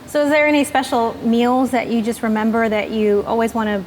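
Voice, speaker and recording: Woman host, interview, somewhat high voice, faint background noise. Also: fairly quick. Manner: bright introductions, frequent affirming murmurs. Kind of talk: podcast-style interview hosting.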